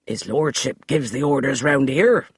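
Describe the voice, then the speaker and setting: A man voicing a tall, stern butler who speaks in rasping, forbidding tones. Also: mid-range voice, a little slow, dry recording, clean studio sound. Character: tall stern male butler guarding an earl's door.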